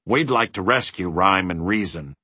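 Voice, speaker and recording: Storybook talking dog, voiced by a man: courteous, practical, direct, a touch husky. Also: deep voice, expressive, normal speed, sharp tone, dry recording, exaggerated voice. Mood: polite, practical.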